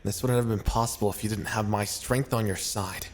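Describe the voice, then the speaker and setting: A man as a low-voiced, brooding prince of hell. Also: fairly even intonation, fairly quick, lightly acted. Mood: irritated. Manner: blunt, brooding, threatening. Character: big, brutish, possessive yandere prince of hell, male. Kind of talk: ASMR roleplay acting.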